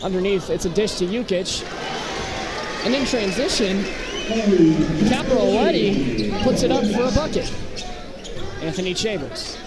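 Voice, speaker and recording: A man doing live play-by-play commentary of a high school basketball game in short, clipped calls. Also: animated, measured pace, pauses often. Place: indoor high school gymnasium. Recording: loud gym crowd and court noise. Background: crowd, bouncing basketballs.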